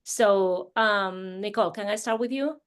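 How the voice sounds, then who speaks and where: A woman's voice: medium pitch, a little slow, clear, resonant tone. A woman, an assistant dean, moderating an online alumni panel over a video call, with frequent hesitant fillers.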